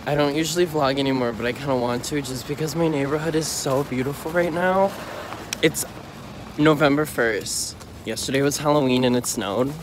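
A young man vlogging casually on a phone at arm's length, walking city streets and chatting excitably with lots of "like". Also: medium pitch, moderate pace, noisy background. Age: young adult. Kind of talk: casual vlog chat. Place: bedroom indoors, then city streets outdoors. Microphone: phone held at arm's length.

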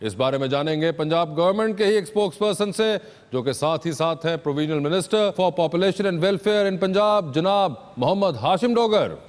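Man, teasing comedy show host, higher voice, long studio reverb, lapel mic. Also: expressive, slight background noise. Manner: teasing, quick with jokes. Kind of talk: bantering comedy talk show hosting. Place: large TV studio with live audience.

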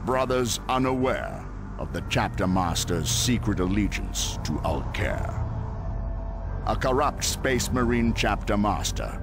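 An actor playing a grave, weary space marine warrior, narrating a game cutscene in a solemn, measured, ominous way with a gravelly voice. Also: male voice, sits low, heavily acted. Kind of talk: dramatic scripted cutscene narration. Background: music.

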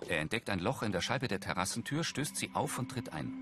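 Low-pitched male audio description narrator. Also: animated, brisk, a bit bright, faint music behind.

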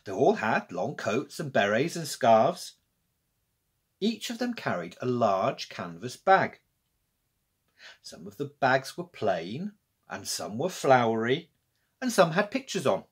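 A middle-aged male storyteller reads for children with deliberate expression. His pitch rises and falls widely, and his voice has a slightly husky, unpolished edge. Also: sing-song. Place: small room with bookshelves, indoors.

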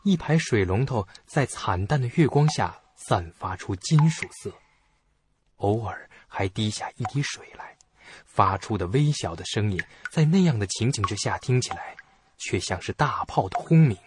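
An actor narrating a suspense audio drama in the first person as a curious, stubborn young student, with expressive, suspenseful delivery. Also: male voice, on the low side, normal speed, slight background noise, lightly acted. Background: music behind the voice.

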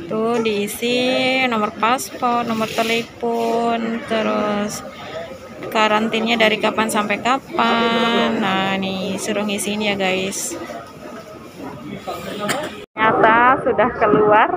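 A woman's voice: medium pitch, noisy background. A young woman chatting casually to her viewers on a phone held at arm's length. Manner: chatty, addresses viewers as guys. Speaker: vlogger. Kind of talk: casual vlog narration. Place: crowded indoor stadium.

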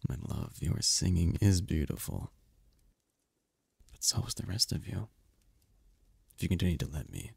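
A man voicing a seductive, possessive demon prince in an ASMR roleplay, with a husky, gravelly voice and a regal, flirtatious manner. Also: deep voice, a little mellow, no echo, heavily acted. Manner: regal, slow-savouring, flirtatious.

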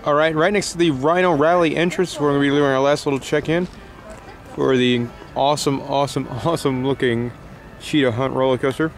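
A man's voice: mid-range voice, fairly even intonation, brisk. A man hosting a theme park show, talking enthusiastically on location outdoors. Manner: enthusiastic, upbeat. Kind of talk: casual on-location chat.

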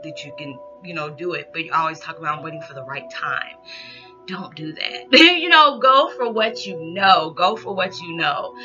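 A woman in her thirties giving a loud, animated motivational talk to her camera in a small living room, recorded on a laptop's built-in mic. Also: deep voice. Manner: animated, loud, rowdy, lots of hand gestures. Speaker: vlogger. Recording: dull lo-fi laptop audio with background music.

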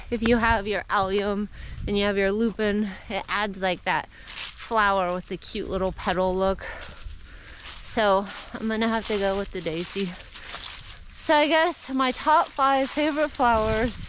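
A woman's voice: medium pitch, slight background noise. A woman in her forties, a flower farmer, chatting casually and indecisively while she walks around her farm with a camera held at arm's length. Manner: chatty, indecisive, often laughs and says "like". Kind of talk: casual walking vlog. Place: outdoors on a rural farm in winter. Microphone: handheld wide-angle camera at arm's length.

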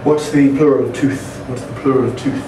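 Brisk English male teacher drilling questions. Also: medium pitch, steady tone. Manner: brisk, repeats each question twice and prompts answers. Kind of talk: rapid drill-style language lesson. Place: small classroom. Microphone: camera across the room. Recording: echoey classroom, distant camera, background noise.

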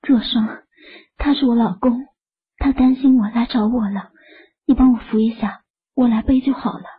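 Frantic young wife in falsetto. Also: male voice, steady tone, moderate pace, breathy edge, heavily acted. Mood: urgent. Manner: high falsetto, anxious calling. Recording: muffled lo-fi audio.

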